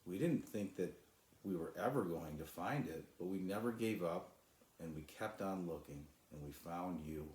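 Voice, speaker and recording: A man's voice: deep voice, expressive, moderate pace, a little mellow. A man voicing an earnest, hopeful young boy in a children's storybook, with an encouraging manner. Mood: earnest, reassuring. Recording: roomy echo.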